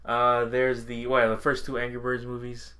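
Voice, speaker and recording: A man's voice: mid-range voice, monotone, normal speed, sharp tone. A man co-hosting a podcast, chatting casually and informally about games and films, sometimes swearing or chuckling. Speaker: podcast co-host.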